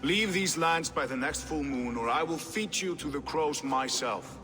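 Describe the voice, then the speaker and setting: A man's voice: fairly high pitch, lightly acted. Man playing a regal Norse king, echoing hall. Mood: stern. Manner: formal, deliberate, regal. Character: commanding, measured Norse king holding court. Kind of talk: dramatic scripted game acting. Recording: echoey hall with music underneath.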